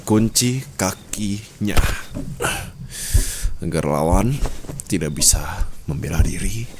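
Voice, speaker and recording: A man's voice: deep voice, expressive, slow, background noise. A man playing a dominant, teasing rich husband in an intimate ASMR roleplay, taunting and possessive, mixing Indonesian with English. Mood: commanding, instructive.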